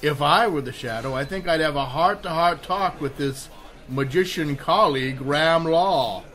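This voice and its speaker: An actor playing a wry, teasing male gentleman of leisure, in 1930s-style radio drama acting. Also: on the high side, normal speed, a crowd in the background, close to an everyday voice. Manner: dry, teasing, urbane.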